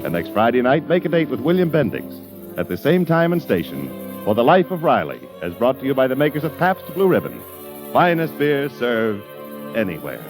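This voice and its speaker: A man's voice: medium pitch, very animated, normal speed, music in the background. A man working as the announcer of a 1940s radio broadcast, giving a formal, polished sponsor read. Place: radio studio.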